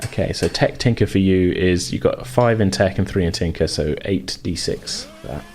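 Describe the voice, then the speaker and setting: A man's voice: deep voice, moderate pace, a little mellow. A man acting as game master, improvising tabletop game narration in informal, hesitant phrasing.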